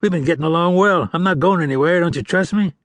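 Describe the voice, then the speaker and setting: Man putting on a high wheedling outlaw prisoner. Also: a bit bright, no echo, natural voice. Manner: wheedling, fast-talking, pleading. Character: chatty sly male outlaw prisoner, wheedling.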